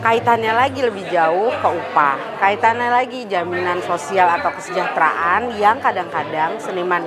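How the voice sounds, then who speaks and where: A woman speaking thoughtfully in an interview, pausing and searching for words. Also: lowish voice. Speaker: panel speaker. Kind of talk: interview statement.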